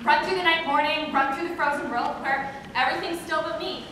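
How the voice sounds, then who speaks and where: A woman's voice: sits high, fairly even intonation, a little fast, natural voice. A woman playing a wistful, restless girl musing aloud before dawn in an amateur stage play. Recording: echoey hall, distant mic, noisy.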